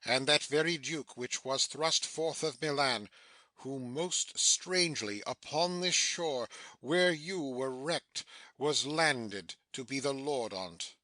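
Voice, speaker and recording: A man playing a dignified, commanding older duke in a dramatic Shakespeare audiobook, speaking in a stately, deliberate way. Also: mid-range voice, bright, forceful tone, dry recording.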